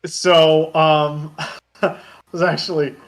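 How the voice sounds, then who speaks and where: A man telling casual anecdotes as an interview guest on an online voice call, in a halting, stop-and-start way. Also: a little high, flat delivery. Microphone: voice call on Discord.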